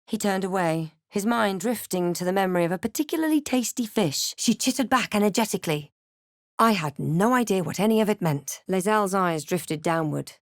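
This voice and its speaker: A woman playing a wry, guarded adventurer hero in a fantasy game, speaking and narrating in a measured, understated, dryly sardonic way. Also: medium pitch, expressive, no room sound, clean studio sound, close to an everyday voice. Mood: measured storytelling. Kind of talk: scripted game voice lines, part first-person narration.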